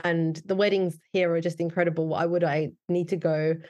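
A woman's voice: fairly low pitch, level tone, a little fast, a little mellow. A British woman talking casually and chattily as a guest in an interview, in an informal conversational way. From United Kingdom. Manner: chatty, informal, frequent "like" and "you know". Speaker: wedding photographer, interview guest.

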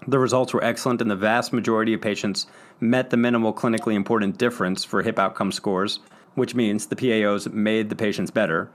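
Podcast host, male, steady panel moderator reading study summaries. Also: fairly low pitch. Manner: introduces guests, reads abstract summaries. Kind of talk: hosting a medical podcast panel.

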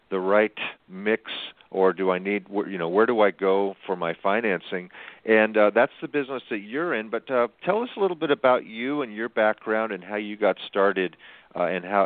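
A man's voice: fairly low pitch, normal speed, no echo. A man hosting a business podcast, welcoming his guest casually with frequent fillers. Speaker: podcast host. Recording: tinny phone-line audio.

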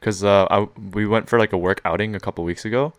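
A young man co-hosting a podcast in a relaxed, rambling way, laughing often between his points. His voice sits very low and sounds close and dry, with no room around it. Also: fast talker, clear, resonant tone, no echo. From born in North America to Korean parents. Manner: laid-back, laughs often, rambling with many fillers. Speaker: podcast co-host. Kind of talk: casual chat.

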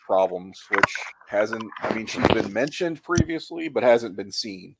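A man's voice: lowish voice, animated, measured pace, creaky, heavy background noise. A man co-hosting a podcast, casually analyzing a TV show in a reflective, colloquial way. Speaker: podcast co-host.

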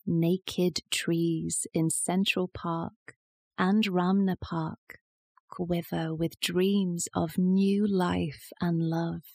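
A woman reciting poetry in a measured, deliberate style. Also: lowish voice, flat delivery, creaky, darkish tone, breathy edge, no room sound. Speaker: poetry reader.

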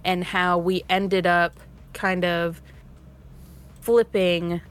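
A woman with a fairly low voice talks through a recap at a very slow pace. She is thoughtful and reflective, assembling her ideas as she goes in long, winding sentences. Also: little pitch movement. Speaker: cast member of an actual play series.

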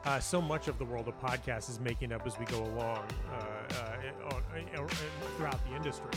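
A man speaking as an interview guest, telling personal anecdotes casually in a halting way, interrupting himself and repeating words for emphasis. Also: mid-range voice, over loud music. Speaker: guest, playwright and audio dramatist.

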